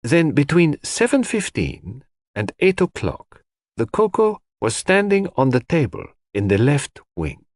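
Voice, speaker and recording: A man voicing a calm, courteous detective who questions people precisely in an audiobook mystery. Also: fairly high pitch, unhurried, no echo, studio quality, voice pushed up, full character voice. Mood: calm, probing.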